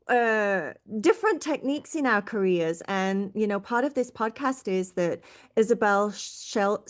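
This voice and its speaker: A woman co-hosting a casual podcast as a spiritual teacher, bubbly and chatty with laughs and restarts. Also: mid-range voice.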